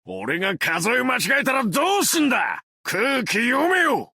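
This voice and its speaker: A man playing a brash, cocky explosives fanatic with a tail in an anime game, talking in rough, swaggering slang with a gravelly voice. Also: very high-pitched, sing-song, measured pace, bright, forceful tone, no room sound, clean studio sound, exaggerated voice. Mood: bossy, fired up. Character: brash tailed male explosives fanatic, cocky bureau officer. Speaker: game character voice lines. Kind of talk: Japanese anime game voice acting.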